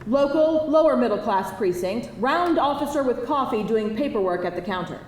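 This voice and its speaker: A woman's voice: on the high side, animated, normal speed. Distant, echoey woman narrator reading a script. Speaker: narrator reading stage directions. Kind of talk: scripted narration at live table read. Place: indoor live table read before an audience. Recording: distant mic, echoey room, faint noise.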